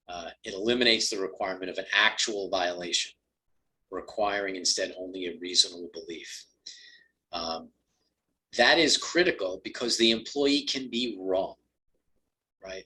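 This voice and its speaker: A man with a lowish voice, raspy at the edges after a full day of talking, walks listeners through a law. His tone is bright and forward. He talks in stops and starts, full of ums and uhs. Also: poor audio. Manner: conversational, many ums and uhs, restarts. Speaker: employment lawyer presenter. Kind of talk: webinar talk on employment law.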